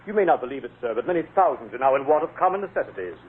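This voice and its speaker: An actor playing a courteous, earnest charity gentleman, speaking politely and formally in old radio drama style. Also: mid-range voice, expressive, very fast, natural voice. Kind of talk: 1930s radio drama acting. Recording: tinny old radio recording with noise.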